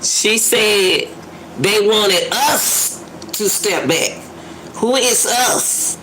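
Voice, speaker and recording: A woman's voice: mid-range voice, expressive, drawn-out words, a bit bright, a little hiss or hum, rough recording. A woman giving casual reaction commentary, musing aloud and repeating her phrases for emphasis. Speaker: commentator reacting to a phone call clip.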